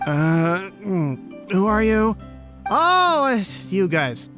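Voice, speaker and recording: An actor playing a nervous, hungover man in an anime game, halting, secretive and evasive. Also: sits high, very animated, fairly bright tone, soft background music, exaggerated voice. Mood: groggy, sheepish. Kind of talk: anime game dialogue acting.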